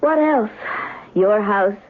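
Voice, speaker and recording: A woman's voice: medium pitch, expressive, slow pace. An actress playing an anxious married woman in a 1970s radio drama. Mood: uneasy, wistful. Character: anxious married woman homeowner back from holiday. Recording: tinny, band-limited old radio broadcast.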